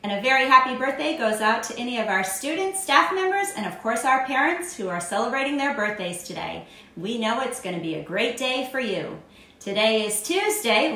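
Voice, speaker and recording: A woman's voice: fairly high pitch, sharp tone. An American woman in her forties, a school principal hosting scripted morning announcements. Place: indoor room at a desk. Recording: very echoey room.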